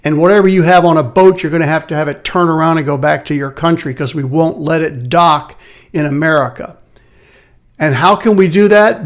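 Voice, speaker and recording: A man hosting a live market update from his office, tired and a little raspy, talking earnestly and plainly. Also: fairly high pitch. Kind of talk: casual live market commentary.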